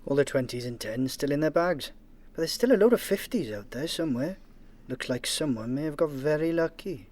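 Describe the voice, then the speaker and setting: An actor playing a dry, chatty English detective sergeant in a comedy radio play. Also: male voice, fairly high pitch, animated, fairly quick, darkish tone.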